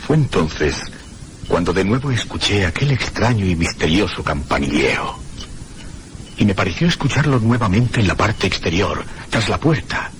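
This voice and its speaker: An actor playing a frightened man who recounts a night of eerie terror in a suspenseful, theatrical radio drama narration with deliberate pauses. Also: low-pitched, very animated, a bit bright, a little hiss or hum. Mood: fearful resolve.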